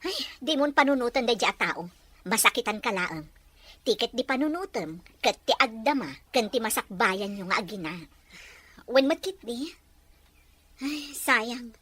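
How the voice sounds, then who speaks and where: A woman acting an emotional young mother in an Ilocano radio drama, plaintive and expressive, with an Ilocano accent. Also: fairly high pitch, unhurried, pauses often, slightly rough, dry recording, full character voice. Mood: resigned, reflective.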